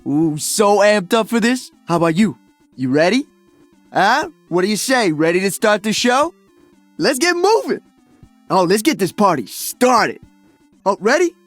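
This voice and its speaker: A man's voice: high-pitched, big pitch swings, measured pace, no room sound, soft background music. An actor voicing an upbeat, cocky young male DJ hero in a video game, breezy, exuberant and slangy. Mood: excited. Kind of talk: video game character voice lines.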